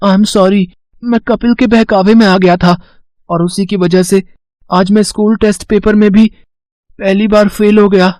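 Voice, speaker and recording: A man's voice: high-pitched, little pitch movement, unhurried, darkish tone, no room sound, pitched above the performer's own voice. A man voicing an earnest, easily hurt schoolboy son in a children's moral story. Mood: remorseful, pleading.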